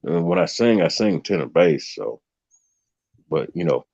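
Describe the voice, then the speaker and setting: A man with a deep bass voice hosting a casual livestream chat, given to preachy rants. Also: animated, unhurried, a little mellow, husky, muffled recording. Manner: ranting, preachy asides. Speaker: stream host.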